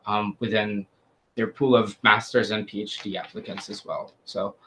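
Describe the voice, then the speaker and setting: A man's voice: lowish voice, fairly even intonation, moderate pace, bright, forceful tone, slight background noise. A young man from Syria answering committee questions, earnest and hesitant, with many fillers. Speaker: student applicant.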